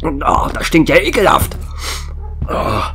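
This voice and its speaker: An actor playing a gruff, rattled small-town sheriff in a German horror radio play, commanding and blunt. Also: male voice, on the high side, big pitch swings, slow, bright, forceful tone, soft background music, exaggerated voice. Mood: uneasy, disgusted. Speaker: actor in an audio drama.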